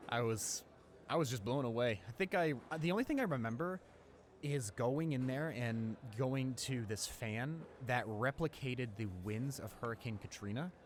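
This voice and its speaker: A man playing a friendly, awkward, talkative high school student in a casual audio roleplay, speaking in a hesitant, rambling, informal way. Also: animated, moderate pace, a little hiss or hum, natural voice. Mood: chatty, enthusiastic.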